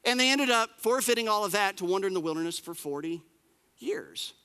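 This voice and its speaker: A pastor delivers a sermon in a high, bright voice. He preaches with lively emphasis, repeating phrases and dropping casual asides. His voice rings through a hall with a long reverb. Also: a little fast, smooth. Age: 40s.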